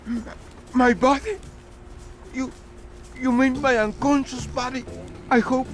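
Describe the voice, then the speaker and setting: An actor playing a frightened, stammering guard in a video game, halting and nervous as he pleads for his life. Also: extremely high pitch, steady tone. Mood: panicked.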